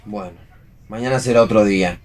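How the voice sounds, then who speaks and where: A man's voice: on the low side, fairly quick, darkish tone, natural voice. Nervous, defensive young Argentine boyfriend played by a man. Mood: uneasy. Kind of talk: read theatre for radio.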